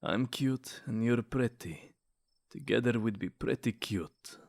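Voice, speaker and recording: A man's voice: mid-range voice, slow, vocal fry, darkish tone. Lovesick male vampire, played by a man for comedic ASMR roleplay: jealous, snide, theatrically flirtatious. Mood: stubborn, flirtatious.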